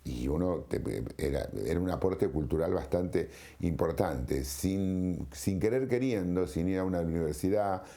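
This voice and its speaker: An older man from Argentina with an Argentine accent, reminiscing and explaining in a halting, anecdotal interview style. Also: deep voice, dark tone.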